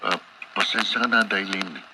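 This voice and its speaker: An actor playing a humble, loyal young employee in a Filipino radio drama, speaking earnestly and deferentially. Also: male voice, low-pitched, normal speed. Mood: earnest, firm. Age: young adult. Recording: very noisy radio drama broadcast.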